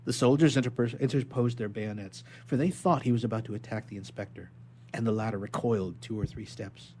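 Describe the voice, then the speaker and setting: A man reading a classic novel aloud as dramatic, expressive narration. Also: lowish voice, fairly quick, a little hiss or hum. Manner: expressive, theatrical. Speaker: reader of a novel chapter.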